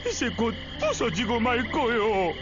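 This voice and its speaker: An actor playing a flustered, stammering, defeatist ship captain with old-fashioned speech in a Korean video game. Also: male voice, extremely high pitch, big pitch swings, measured pace, heavily acted. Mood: terrified. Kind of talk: exaggerated Korean game voice acting. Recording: muffled game audio with music.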